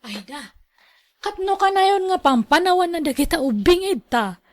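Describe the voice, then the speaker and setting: A woman's voice: very high-pitched, big pitch swings, normal speed, no room sound. A woman acting in a radio drama as a gossipy younger woman, chatty and complaining, with a Filipino accent. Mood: complaining, tattling. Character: gossipy younger woman tattling to her mother.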